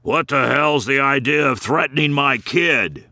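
An angry middle-aged father, gruff and bullying, demanding answers, as a man reading a story puts him on. His voice sits a little above the narrator's own and sounds bright and forceful. The recording is close and dry. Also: medium pitch, sing-song, slow, no echo, voice pushed up, exaggerated voice.

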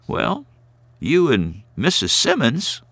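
A man voicing a patient young woman who gently chides her grumpy friend. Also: medium pitch, huge pitch swings, takes it slowly, slightly rough, dry recording, voice pushed up, full character voice. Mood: chiding.

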